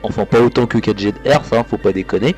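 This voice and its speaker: A man's voice: on the low side, fast, darkish tone, faint music behind. A man hosting a let's play, chatting casually over a horror visual novel with crude jokes and frequent restarts. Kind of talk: casual game commentary.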